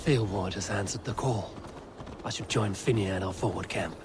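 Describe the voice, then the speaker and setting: An actor playing a resolute Viking war leader in a video game, speaking tersely and solemnly. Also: male voice, lowish voice, moderate pace, very noisy, lightly acted. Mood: purposeful. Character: resolute Viking warrior leader, measured and commanding. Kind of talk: cinematic video game acting.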